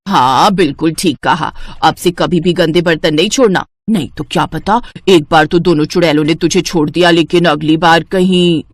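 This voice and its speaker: Lady doing a low grumbly old mother-in-law, weary n dramatic, family storybook stuff, close dry sound. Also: normal speed, few pauses, no echo. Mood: sly, scolding. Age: older. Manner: grumbling, theatrical lamenting.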